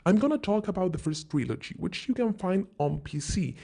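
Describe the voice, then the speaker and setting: A man's voice: sits high, sing-song, brisk, creaky, mellow, husky. A man narrating a scripted video game countdown as host, in an informal explainer manner, posing questions and answering them himself. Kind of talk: scripted video narration, top-five list commentary. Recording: music bed under the voice. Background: background music.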